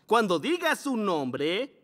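Lively cheerful mole schoolteacher. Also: female voice, mid-range voice, slow pace, exaggerated voice. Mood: kindly, instructive. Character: cheerful, encouraging male mole kindergarten teacher. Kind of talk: children's cartoon dub acting.

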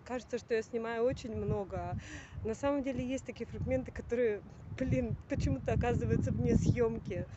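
A talkative woman in her thirties records herself on a handheld camera while walking around a city. She speaks a little high, laughs easily and exclaims often. The audio is dull and lo-fi, with clear traffic and street noise behind her. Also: brisk. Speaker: vlogger. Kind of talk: casual travel vlog. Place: outdoors in a city and indoors in a museum. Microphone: handheld camera at arm's length.

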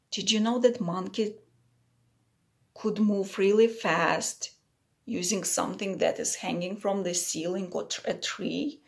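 Slow-paced woman reading aloud to children. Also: mid-range voice, vocal fry. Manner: simple, explanatory phrasing for young children. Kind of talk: children's picture-book read-aloud with explanatory asides.

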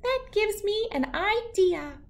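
Woman doing a tiny squeaky shrew. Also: animated, slow, creaky, full character voice. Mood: thoughtful. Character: tiny, polite, eager male shrew with big plans. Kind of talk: children's storybook character voice.

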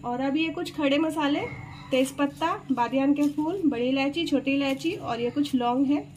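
A woman's voice: sits high, moderate pace, a little mellow. A woman hosting a cooking video, chatting through each step for her viewers in her home kitchen. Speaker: cooking channel host. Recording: noisy home recording.

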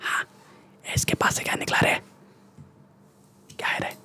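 A young man playing a swaggering, bullying Mumbai gangster in a breathy ASMR whisper, with slangy, boastful Mumbai Hindi speech, close to the microphone. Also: a little slow, close to an everyday voice. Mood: scheming. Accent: Mumbai Hindi street slang. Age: young adult. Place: small room indoors. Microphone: close desktop condenser microphone.

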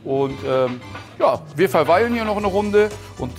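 A man's voice: high-pitched, big pitch swings, measured pace, soft background music. A man in his forties presenting and interviewing casually on location as the host. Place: indoors in a restaurant bar. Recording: clear background noise, restaurant ambience.

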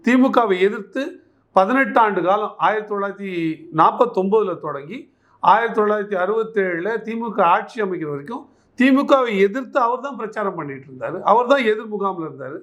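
A man speaks in a notably high-pitched voice. He comments on politics as an interviewee, pressing his case with emphatic repetition and pointed rhetorical questions. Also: lively intonation, a little fast, a bit bright. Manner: argumentative, emphatic, repeats phrases and poses rhetorical questions.

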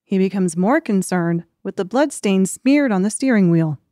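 A woman's voice: medium pitch, lively intonation, normal speed, dark tone, no room sound. Woman narrating an audiobook, close and dry. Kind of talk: scripted storytelling narration.

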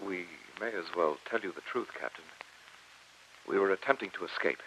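An actor playing an earnest, anxious captive professor in an old radio drama, with a dramatic, urgent delivery. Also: male voice, low-pitched, normal speed, a bit bright, slight background noise. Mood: anxious, hushed. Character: earnest, anxious male professor, a captive narrating his escape. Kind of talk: 1940s radio drama acting. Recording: dull old radio recording, music behind.

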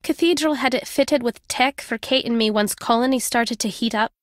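A woman voicing a guilt-ridden, earnest middle-aged former journalist in a video game, in a measured, confessional way. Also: a little high, dry recording, clean studio sound, lightly acted. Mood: guarded. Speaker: voice actor.

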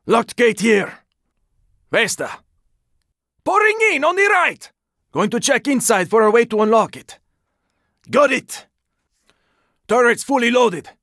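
A gruff, weary squad fighter from a zombie video game. His voice is raspy and full of gravel, with lively swings in pitch. He talks in short, urgent bursts at an unhurried pace, with a sardonic edge. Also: no room sound, clean studio sound, exaggerated voice. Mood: urgent, shouting. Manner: terse, urgent, swearing. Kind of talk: video game character combat barks.

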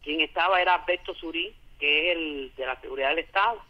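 A woman's voice: low voice, fairly quick. Older Cuban woman on a phone line. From Cuba. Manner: defiant, plain-spoken. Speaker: dissident interviewee. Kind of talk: phone interview.

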